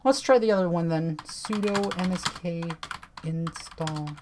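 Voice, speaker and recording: A woman with a tired voice, chatting casually and swearing now and then as she reads on-screen text and walks through software at her computer. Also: on the low side, measured pace, background noise. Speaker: tech video creator.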